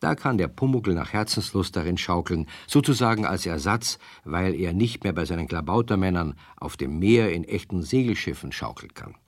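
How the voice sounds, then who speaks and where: Man, children's radio narrator, lowish voice, dry close sound, speaks to the kids. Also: lively intonation, moderate pace, few pauses, no echo. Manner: warm, addresses children directly. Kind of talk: storytelling for children.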